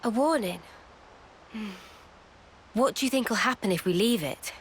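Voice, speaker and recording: A woman's voice: medium pitch, moderate pace, slight background noise, close to an everyday voice. A woman playing a caring, earnest young comrade in a video game cutscene. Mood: curious.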